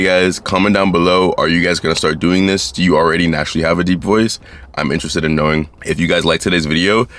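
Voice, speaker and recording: A man's voice: low-pitched, fairly bright tone. A young man giving casual advice straight to camera in a laid-back, chatty way, talking to his viewers as guys. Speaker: YouTube creator.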